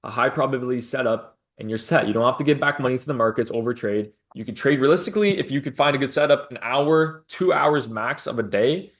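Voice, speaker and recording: A man hosting an interview over a video call, a trading coach who asks questions, drops quick affirmations and pitches his own teaching. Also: fairly bright tone.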